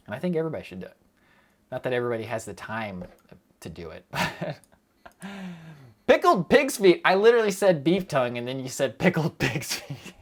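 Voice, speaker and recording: A young man chatting casually on a live stream, rambling quickly and breaking off his own sentences as he answers viewers. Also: mid-range voice. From United States. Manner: rambling, quick, self-interrupting with fillers and laughs.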